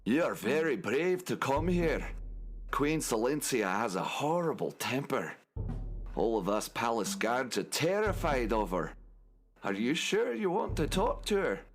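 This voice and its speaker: A man's voice: medium pitch, sing-song, measured pace, soft background music. A man playing a nervous alien palace guard who gives cautious warnings in a children's audio drama. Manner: warning, hesitant.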